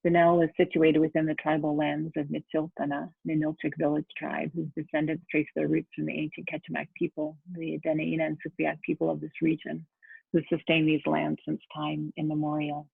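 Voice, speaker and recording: A woman's voice: low voice, completely flat delivery, fairly quick, vocal fry, slightly rough, no room sound. A woman hosting a video call interview as an arts center director, curious and stumbling a little over her words. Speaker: host, artistic director. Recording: tinny phone-like audio.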